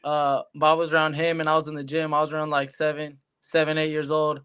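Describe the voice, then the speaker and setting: A young American man speaks in a smooth, clean voice that sits a little high. His delivery stays quite level as he gives casual, colloquial interview answers as a boxing guest. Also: monotone, fairly quick, fairly bright tone. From Hawaii, United States. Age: young adult. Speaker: professional boxer, guest.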